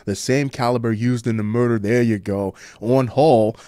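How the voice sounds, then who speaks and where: A man's voice: medium pitch, steady tone, moderate pace, dark tone, no echo. Sardonic American man hosting crime news, dry sound. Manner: sardonic, blunt, slangy. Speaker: host commentator of a crime news show. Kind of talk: crime news reading mixed with casual opinionated commentary.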